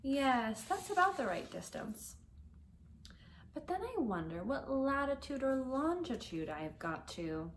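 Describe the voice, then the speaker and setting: Lady doing a curious little storybook girl, high n lively, chatting earnestly to herself, bit of noise behind. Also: a little slow, heavily acted. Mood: wondering. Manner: wondering aloud to herself, earnest.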